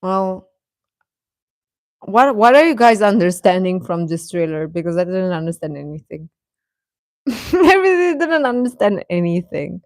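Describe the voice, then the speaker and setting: A woman's voice: on the low side, big pitch swings, unhurried, long pauses, no echo, studio quality. A woman hosting a casual livestream, chatting to viewers in a hesitant, rambling, stop-and-start way. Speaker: livestream host.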